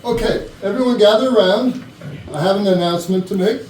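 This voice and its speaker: An actor playing a self-important, demanding company boss in a comedy play reading, with an authoritative speechifying manner. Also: male voice, sits high, fairly quick, darkish tone, lightly acted. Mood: jovial, official. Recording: distant, echoey room with faint noise.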